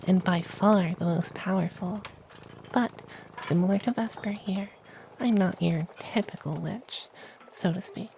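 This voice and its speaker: Playful, powerful but kind forest witch, played by a man: dark mellow tone, soft ASMR delivery, faint background ambience. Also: high-pitched, slight background noise, rough recording. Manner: soft, cosy, playfully boastful, slipping into whispers. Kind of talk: ASMR roleplay.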